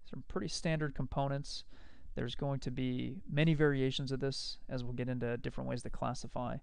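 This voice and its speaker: Male instructor, gravelly edge, close and dry voice-over, steady technical delivery. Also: mid-range voice, no room sound. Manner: explanatory, measured, technical. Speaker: lecturer. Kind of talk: recorded slide lecture.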